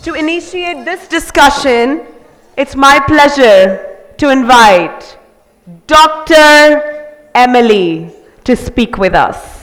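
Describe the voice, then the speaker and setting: A woman announces speakers as a conference host, effusive and ceremonious. Her voice is high and bright, carried over a reverberant hall PA with some noise behind it. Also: lively intonation, takes it slowly. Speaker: event host introducing speakers. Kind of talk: formal stage announcing.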